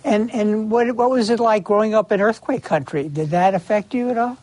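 A man hosting an interview, who introduces his guest in a formal, measured way and then asks questions. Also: high voice, a little mellow, dry recording. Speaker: interview host.

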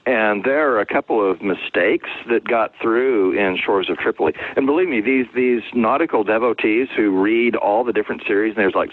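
A man's voice: on the low side, slightly rough, no room sound. A man being interviewed as a novelist, talking conversationally and explaining things through anecdotes. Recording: phone-like, narrow-band, dry sound.

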